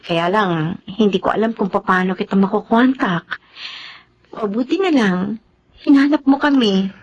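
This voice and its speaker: A woman's voice: mid-range voice, moderate pace, lo-fi sound, natural voice. A woman with a Filipino accent playing a forgiving, composed ex-girlfriend and mother in a TV drama. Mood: forgiving, earnest.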